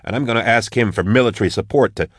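A man reading a blunt, defiant female marshal, his voice pitched up and pushed louder. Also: quick pace, slightly rough, no room sound, clean studio sound.

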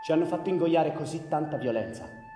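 A laconic male rebel in a theatre piece, played by an actor. He is bitter and understated, with a slightly high voice. He sounds distant and echoey, with loud music around him. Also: a little fast, lightly acted. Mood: bitter, grave. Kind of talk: live stage drama acting.

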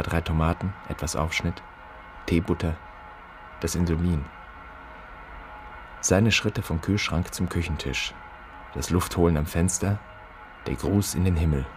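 A man acting an anguished son haunted by his father's guilt, speaking in deliberate, poetic, questioning fragments in a radio drama. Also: deep voice. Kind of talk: stylized German radio drama monologue.

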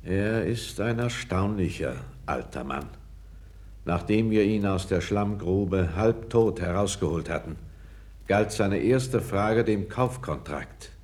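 Deep, grave royal councillor. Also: male voice, lively intonation, a little mellow. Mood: grave, frank. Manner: measured, grave, deferential yet frank. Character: calm, candid foreign court councillor to a king. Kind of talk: 1960s German radio play acting.

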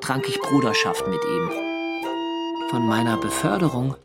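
An actor playing a wartime sailor narrating his diary in a measured, dryly wry way for a radio drama. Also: medium pitch, wildly swooping pitch, loud music behind the voice. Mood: reflective.

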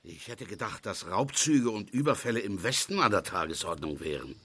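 A man playing an irascible, domineering American businessman in a German audio drama, gruff, imperious and contemptuous. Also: on the low side, animated, fairly quick, fairly bright tone. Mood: indignant.

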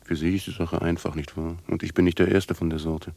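An actor playing a haunted, defiant outcast with a brooding, poetic delivery in a literary radio drama. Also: male voice, low-pitched, flat delivery, brisk, vocal fry, warm tone, dry recording. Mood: bitter, resigned.

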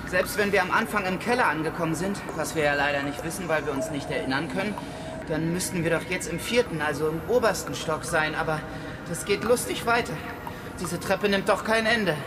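A man's voice: on the high side, fairly quick, distant mic, background noise, lightly acted. A man playing a self-assured young explorer in a radio drama, casual and breezy. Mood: confident, puzzled. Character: cocky, reasoning young man exploring a mysterious factory.

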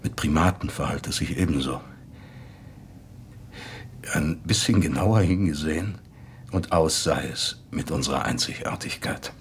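A man playing a thoughtful acquaintance recalling a late friend in a measured, articulate radio drama monologue. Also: a bass voice, gravelly, a little hiss or hum, heavily acted. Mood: reflective.

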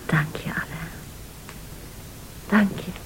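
An actress playing a weary, wounded, quietly controlling invalid wife, softly and deliberately, in a radio thriller. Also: female voice, low-pitched, in a whisper, poor audio. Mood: soothing, quietly controlling.

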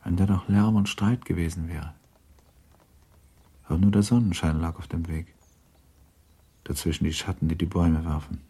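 A man narrating a literary radio play in a grave, measured, restrained manner. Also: deep voice, steady tone, dark tone, husky. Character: grave, restrained narrator of a 19th-century novel.

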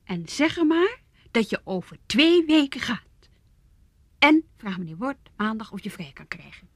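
A woman's voice: on the high side, big pitch swings, a little slow, no room sound. An actress playing a determined, domineering woman in a radio play, insistent and teasing with her lover.

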